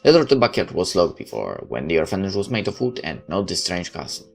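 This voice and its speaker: A man's voice: sits low, very animated, quick pace, vocal fry. A man doing a let's play, reading a visual novel aloud with wry, joking asides and a dramatic delivery that sometimes stumbles. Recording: music behind the voice. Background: game music with guitar.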